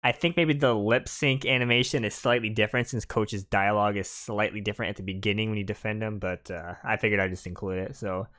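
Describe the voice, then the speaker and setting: A man's voice: on the low side, fairly even intonation, quick pace, hardly any pauses, a bit bright. A man giving casual, chatty, explanatory commentary over a video game walkthrough.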